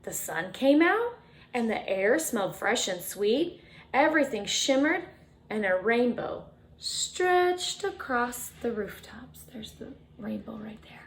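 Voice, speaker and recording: A woman's voice: a little high, a little hiss or hum. A woman reading a picture book aloud to children like a teacher, expressively, with dramatic emphasis and chatty asides. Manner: expressive, dramatic emphasis, drawn-out refrains, chatty questions to young listeners. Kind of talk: animated children's storytime read-aloud with asides to listeners.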